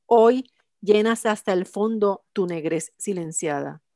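A woman reads poetry aloud with slow, declamatory weight. Her voice is mid-pitched. The sound is dry and close. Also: warm tone, no room sound. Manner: measured, declamatory reading. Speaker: radio co-host. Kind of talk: poetry reading and interview.

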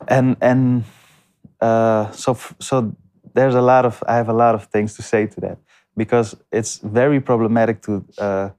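A man answering interview questions in a halting way, with many restarts and fillers. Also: mid-range voice, flat delivery, a little mellow, smooth. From came to the Netherlands as a refugee. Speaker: guest. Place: lives in north Amsterdam.

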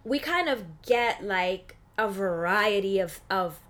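A woman's voice: mid-range voice, animated, slow pace, fairly bright tone. Woman chatting casually in an interview. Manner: informal, peppered with "like" and "you know". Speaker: interview guest, actress and film industry buyer.

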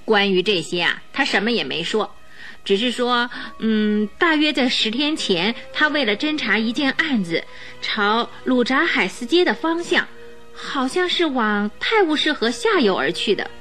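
Radio play casting: fretful, chatty housekeeper, high voice, sighing and exclaiming, music underneath. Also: female voice, animated, moderate pace, fairly bright tone. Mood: worried, hesitant. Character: anxious, flustered, talkative housekeeper woman. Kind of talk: radio drama acting.

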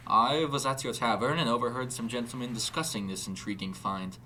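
A man voicing a fussy, excitable German investigator of strange creatures, speaking haltingly with a German accent in a story. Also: medium pitch, slight background noise, lightly acted. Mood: eager. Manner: halting, searching for words.

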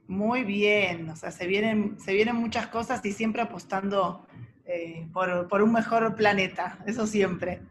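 A woman's voice: medium pitch, steady tone. A woman with an Argentine accent hosting an interview in a chatty, friendly way. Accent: Argentine Spanish. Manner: friendly, chatty, quick asides. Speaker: host. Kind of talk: casual interview chat.

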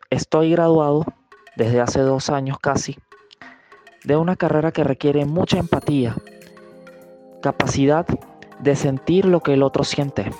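A man's voice: on the high side, mellow. A man in his twenties with a Venezuelan accent, a nurse giving a composed, confident testimonial message. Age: 20s. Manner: composed and confident, speaking with conviction.

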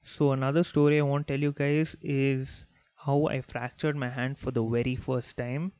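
A young man from Bangalore whose first language is Malayalam, hosting a podcast and telling childhood stories in a relaxed, rambling way full of "like" and "you know". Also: mid-range voice, normal speed, soft background music. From Bangalore, India. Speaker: podcast host. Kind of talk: casual storytelling monologue.

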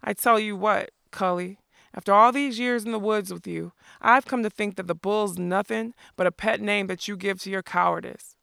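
A sharp-tongued outlaw woman, put on by a storybook reader, mocking and sarcastic. Her voice is bright and cutting, crisp with a touch of creak. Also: medium pitch, steady tone, moderate pace, no echo, studio quality. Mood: scornful. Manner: taunting, sarcastic.

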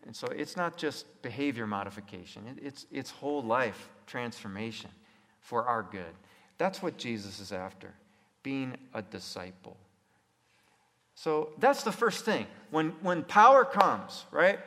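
A man's voice: medium pitch, big pitch swings, a little slow, sharp tone. A man preaching a sermon in an emphatic way, repeating phrases and putting rhetorical questions to his listeners. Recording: echoey hall with long reverb.

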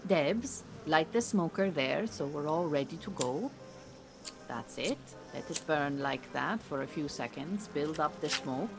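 A woman playing a firm, measured beekeeping teacher in an audio drama, giving instructions. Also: deep voice, lively intonation, music in the background. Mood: calm, instructive.

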